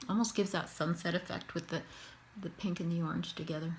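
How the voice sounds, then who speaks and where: Canadian lady talking thru her face paint tutorial, chatty and upbeat, lowish voice, bit of hiss behind. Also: fairly even intonation, fairly quick. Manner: chatty, thinking aloud, upbeat. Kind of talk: casual tutorial narration while painting herself.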